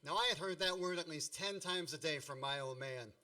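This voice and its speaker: A man's voice: fairly high pitch, brisk, pushed and bright. A man acting as a grown narrator wryly and dramatically retelling his boyhood mishaps in a radio play. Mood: wry, nostalgic. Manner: wry, mock-grandiose, dramatic. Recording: distant microphone.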